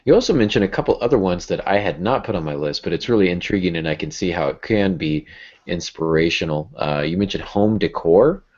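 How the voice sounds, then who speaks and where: Male podcast host, very low bass pitch, casual and drily joking, slightly muffled lo-fi recording. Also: expressive, a little fast, a little mellow. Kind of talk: casual chat.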